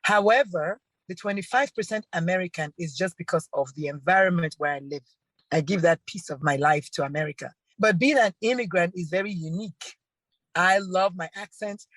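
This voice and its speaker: A woman's voice: lowish voice, brisk, no room sound. A middle-aged woman with a Nigerian accent, talking confidently and emphatically as a podcast guest. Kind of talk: casual conversation.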